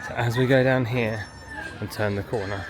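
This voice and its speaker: Loud music plays behind a casual vlogger with a low-to-middle speaking voice. The parent narrates a walk-through of an outing in a conversational style, recorded on the move outdoors. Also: steady tone, moderate pace. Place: outdoors in a theme park, walking, sometimes inside small buildings.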